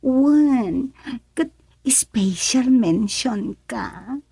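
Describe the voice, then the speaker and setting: An actress with an Ilocano accent playing a coy, teasing village woman being courted in a comic radio drama, with drawn-out delivery. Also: on the high side, lively intonation, vocal fry, warm tone, breathy edge, no echo, clean studio sound, full character voice. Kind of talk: Filipino radio comedy drama acting.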